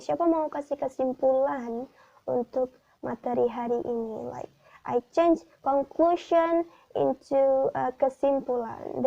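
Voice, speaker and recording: A young Indonesian woman with an Indonesian accent, speaking English haltingly in a class video, pausing often with fillers. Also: high voice, gravelly. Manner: hesitant, many pauses and fillers. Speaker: student. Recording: dull lo-fi recording.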